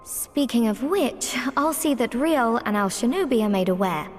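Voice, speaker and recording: Amiable high-voiced adventurer played by a woman. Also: animated, normal speed, faint music behind, close to an everyday voice. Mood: friendly, practical. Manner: conversational, polite. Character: amiable, practical female adventurer, polite and dutiful. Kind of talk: fantasy game cutscene acting. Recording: long reverb, noisy ambience behind.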